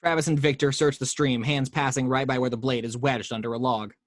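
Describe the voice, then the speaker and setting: A man's voice: medium pitch, brisk, no echo, clean studio sound. Man reading screenplay action lines. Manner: steady reading with small slips. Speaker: table read host reading action lines. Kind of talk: script narration. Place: home.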